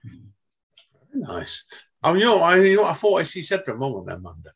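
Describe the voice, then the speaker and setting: A man's voice: fairly high pitch, wildly swooping pitch, breathy edge. A man hosting a casual podcast chat, joking and laughing as he moves things along. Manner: chatty, laughs often, quick asides. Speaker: podcast host.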